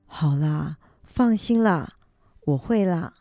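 A woman's voice: deep voice, expressive, takes it slowly, mellow, dry recording, faint music behind, exaggerated voice. A woman playing an eager, modest old grandmother who goes to school, in a children's radio drama, chatty and earnest. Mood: insistent.

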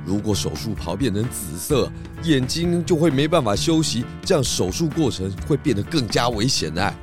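A man's voice: mid-range voice, big pitch swings, normal speed, music in the background, lightly acted. A man playing a concerned, knowledgeable detective in a children's audio drama, explaining things earnestly. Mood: serious explaining.

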